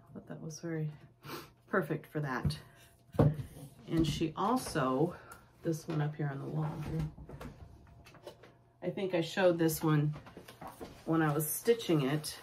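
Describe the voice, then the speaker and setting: Woman, low pitch, sick and sniffly, casual vlog chat, halting and rambling, noisy home recording. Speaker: needlework vlog host. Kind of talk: casual show-and-tell chat.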